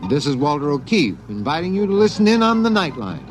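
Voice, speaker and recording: A man with a fairly high, animated voice delivers a showy old-time radio promotion. Music plays clearly behind him. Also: brisk. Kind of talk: scripted 1950s radio promotion.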